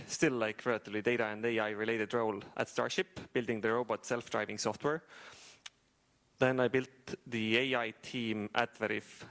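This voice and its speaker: A man's voice: mid-range voice, a bit bright. A man in his thirties with an Estonian accent, giving a casual, self-deprecating startup talk to a live audience with frequent fillers and restarts. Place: lecture room with audience.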